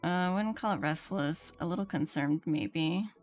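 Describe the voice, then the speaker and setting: A woman's voice: lowish voice, normal speed, a little mellow. A woman streamer giving casual, playful and teasing commentary while she plays a game. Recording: game music under the voice. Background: game music.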